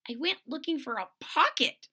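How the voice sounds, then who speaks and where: A woman voicing a childlike, wide-eyed toy bear in a storybook read-aloud, with a wondering, drawn-out delivery. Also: high-pitched, very animated, pushed and bright, pitched above the performer's own voice, exaggerated voice. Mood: sheepish.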